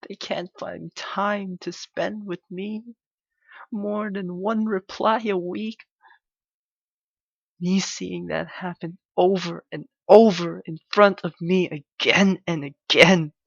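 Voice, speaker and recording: A man playing a clingy, heartbroken young yandere lover in an intimate ASMR roleplay, halting and pleading in his anguish. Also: sits high, gravelly, fairly bright tone, dry recording, dull audio, heavily acted. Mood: bitter, agitated.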